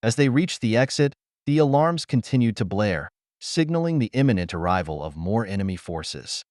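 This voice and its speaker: A man's voice: fairly low pitch, big pitch swings, moderate pace, a little mellow, dry recording, clean studio sound. A man reading a science fiction adventure story as an audiobook narrator, in a dramatic, earnest manner.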